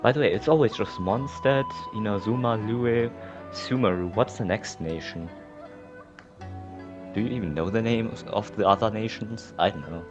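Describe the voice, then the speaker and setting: A man's voice: on the low side, normal speed, muffled recording, music in the background. A young man giving casual, sarcastic, exasperated commentary over gameplay.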